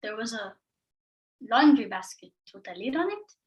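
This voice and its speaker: A woman's voice: a little high, sing-song, normal speed, reverberant, recorded from a distance, highs cut off, pitched below the performer's own voice. A woman playing a grieving young refugee girl. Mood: horrified. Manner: hushed, tearful storytelling. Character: ten-year-old Honduran girl, grieving refugee. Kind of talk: competitive dramatic interpretation performance.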